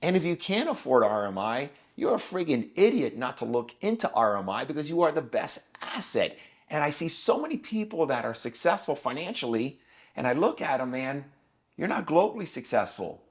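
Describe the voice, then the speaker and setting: A man's voice: medium pitch, very animated, normal speed. A man in his fifties, a guest on a podcast, talking in an emphatic, preachy and blunt way. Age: 50s. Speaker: guest, business owner. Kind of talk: podcast conversation.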